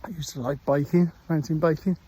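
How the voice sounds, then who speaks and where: Mellow-voiced Welsh man rambling on a walk. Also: fairly high pitch, steady tone, husky. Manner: rambling, unhurried chat with frequent pauses. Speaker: vlogger. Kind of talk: casual walking vlog. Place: outdoors on a countryside dog walk. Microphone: handheld phone.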